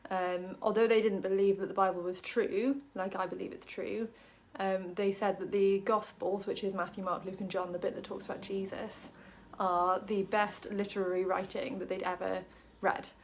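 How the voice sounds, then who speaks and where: Woman from wales chatting through a bible reading, lots of ums, jokey, middle pitched voice. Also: little pitch movement, moderate pace, few pauses. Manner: chatty, many ums, self-deprecating jokes. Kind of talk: casual Bible study talk to camera.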